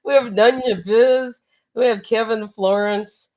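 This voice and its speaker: A woman's voice: a little high, fairly even intonation, a little slow, darkish tone, highs cut off. A woman co-hosting a live toy stream, congested from allergies, upbeat and laughing often as she greets chatters. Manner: upbeat, laughs often, reads out chat names. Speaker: co-host. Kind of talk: casual live-stream chat.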